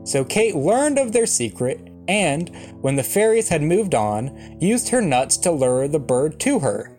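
Man, storybook narration, animated delivery, wry comic asides, faint guitar backing. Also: fairly high pitch, measured pace. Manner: dry, joking asides. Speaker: comedian reading a fairy tale. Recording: plucked guitar music behind the voice. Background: plucked guitar music.

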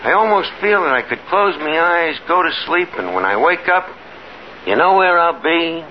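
A man plays a vain New York blowhard from an old radio comedy, on a muffled, noisy recording. His voice swings widely in pitch as he talks grandly in mangled grammar, hesitating along the way. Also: lively intonation, normal speed, lightly acted. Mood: self-satisfied. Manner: pompous, ungrammatical, hesitating. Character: boastful, scheming, malapropping tavern man, exasperated and vain. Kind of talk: 1950s radio sitcom acting.

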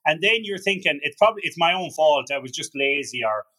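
A man's voice: on the high side, lively intonation, a little fast, pushed and bright. An Irish man hosting a podcast, talking earnestly in a casual chat with stammering restarts. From Ireland. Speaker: podcast host.

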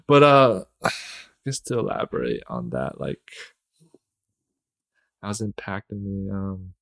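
A man's voice: sits low, very animated, a little slow, gravelly, fairly bright tone. A young man talking as a podcast guest in casual conversation, hesitant with many fillers and restarts, laughing often.

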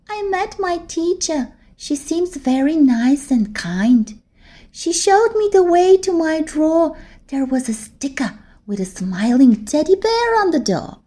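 A woman's voice: very high voice, mellow, pitched above the performer's own voice, exaggerated voice. A woman voicing a shy, stubborn kindergarten-age girl in a children's story, in a pleading childlike manner.

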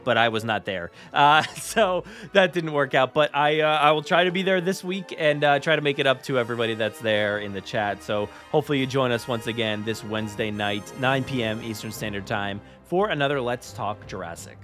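A man's voice: medium pitch, a little fast, few pauses, a bit bright, soft background music. Casual male podcast host, chatty and informal. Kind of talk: casual chatty show intro.

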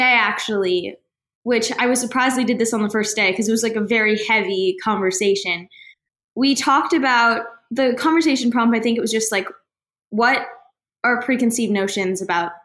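A woman's voice: a little high, normal speed, fairly bright tone, reverberant. A teenage girl from the United States talking casually, rambling with lots of likes and restarts. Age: teens. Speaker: interviewee. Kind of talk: casual chat.

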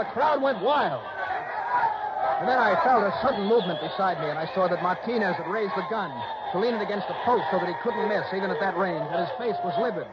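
An actor playing a tough, earnest investigator with an American accent, narrating his case in clipped, intense 1960s radio style. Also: sits high. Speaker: star and narrator. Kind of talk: dramatic first-person 1960s radio narration. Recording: noisy, muffled old radio recording.

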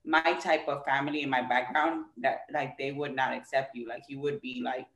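A woman's voice: very deep voice, fairly even intonation, normal speed, fairly bright tone. A woman guest on an interview podcast, talking casually and animatedly, acting out what other people say and leaning on "like" and "you know". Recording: echoey room.

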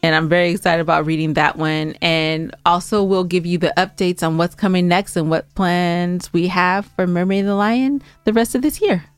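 A woman's voice: on the low side, fairly even intonation, moderate pace, few pauses, smooth, dry recording. An American woman co-hosting a podcast, bantering casually in a blunt, teasing, opinionated way, close on the microphone. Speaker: podcast co-host.

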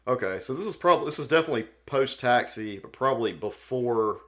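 A man's voice: mid-range voice, expressive, brisk, a bit bright. A man co-hosting a podcast in casual, comedic fan chat, joking in a wry, offhand way. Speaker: podcast co-host.

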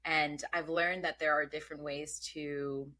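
A woman's voice: sits low, flat delivery, unhurried. A woman from Bangladesh, not an English speaker, hosting a podcast interview and asking questions with many ums and restarts. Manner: warm curious questioning with many fillers. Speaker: podcast host. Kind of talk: casual interview.

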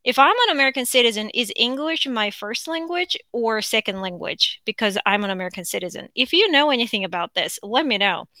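A woman's voice: a little high, lively intonation, fairly quick, hardly any pauses, sharp tone, dry recording. Chatty Korean-accented woman podcaster. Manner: rambling, chatty, frequent fillers and restarts. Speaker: podcast host. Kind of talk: casual unscripted monologue.

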